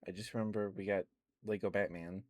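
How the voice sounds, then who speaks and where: A young man chatting in a soft, rambling ASMR style, wandering between thoughts with lots of fillers and quiet laughs, close to the microphone. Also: on the low side, steady tone, brisk, no echo. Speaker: ASMR streamer.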